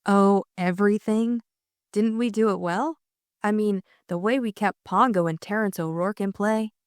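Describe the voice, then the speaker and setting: A woman's voice: medium pitch, normal speed, no room sound, clean studio sound, lightly acted. A woman voicing an inquisitive, forthright young society lady in an audiobook, pointed and wry. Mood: coaxing. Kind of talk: audiobook character acting.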